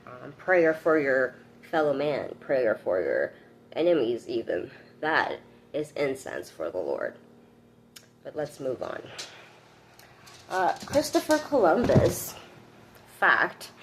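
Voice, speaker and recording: A woman reads aloud and comments informally. Her voice sits low for a woman and moves with lively, varied intonation. She sounds earnest, and there is some noise behind her. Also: rough recording. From Canada. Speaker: host reading a book aloud with commentary.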